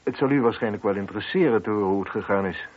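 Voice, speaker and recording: Man acting a husky, low-voiced police inspector, muffled recording. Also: racing, natural voice. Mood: probing, dry. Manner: musing, questioning, dryly ironic. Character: probing, wry male police inspector with a headache. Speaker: actor in a radio play. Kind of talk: Dutch radio drama dialogue. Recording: muffled lo-fi old radio recording.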